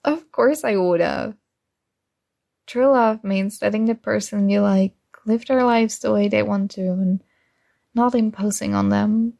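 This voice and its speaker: Slow-paced, oblivious sweet female friend, acted by a woman. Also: medium pitch, steady tone, creaky, dark tone. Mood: sheepish, affectionate. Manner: casual, hesitant, earnest delivery. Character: oblivious, kind, earnest female friend and secret crush. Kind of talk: ASMR roleplay, intimate one-sided dialogue.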